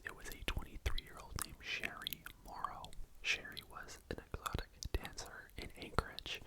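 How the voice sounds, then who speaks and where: A host telling true crime stories in a breathy, unvoiced ASMR whisper, informal and conversational, close to the microphone. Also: very high voice, low-quality recording. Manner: informal, conversational, hushed narration.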